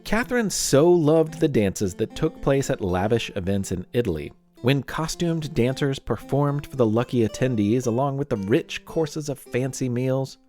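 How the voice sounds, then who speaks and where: A man's voice: medium pitch, expressive, normal speed, few pauses, darkish tone, soft background music. A man narrating scripted history as a podcast host, with playful, wry asides.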